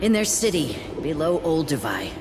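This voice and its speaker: A woman's voice: low-pitched, big pitch swings, normal speed, music in the background. An actress playing a desperate, pleading woman in a dramatic video game cutscene, with an intense delivery. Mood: bitter, urgent. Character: desperate, pleading woman accused of being an android.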